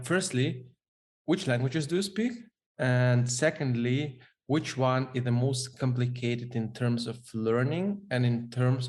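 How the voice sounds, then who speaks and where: Measured male podcast host. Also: mid-range voice, a little mellow. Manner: measured, searching for words with fillers. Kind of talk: interview questions.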